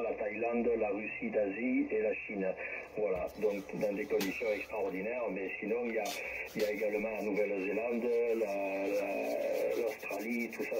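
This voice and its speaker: A man's voice: on the low side, background noise. A man chatting casually as he runs an amateur radio group contact over a radio transmission. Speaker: amateur radio operator passing turns in a group chat. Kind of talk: casual ham radio chat. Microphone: radio transceiver microphone.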